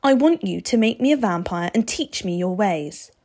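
A woman's voice: mid-range voice, lively intonation, moderate pace, a little mellow. A woman voicing an eager, stubborn, fearless schoolboy who speaks earnestly and insistently in a storybook reading. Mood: eager, pleading.

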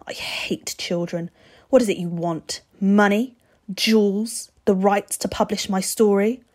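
A woman's voice: medium pitch, a little slow, a little mellow, close to an everyday voice. A woman voicing a stern, menacing male vampire with formal, clipped, growling speech, in a storybook reading. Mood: disgusted.

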